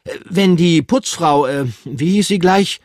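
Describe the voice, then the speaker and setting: A man's voice: fairly high pitch, a little slow, a little mellow, no room sound, clean studio sound, voice pushed up, natural voice. A man voicing a blunt, scolding small male animal in a children's story. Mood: stern.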